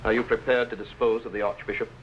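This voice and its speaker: A man's voice: fairly low pitch, level tone, quick pace, dull audio, lightly acted. An actor playing an arrogant, tyrannical medieval king with pompous, commanding delivery in an old television costume drama. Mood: cold, scheming. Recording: noisy 1950s television soundtrack.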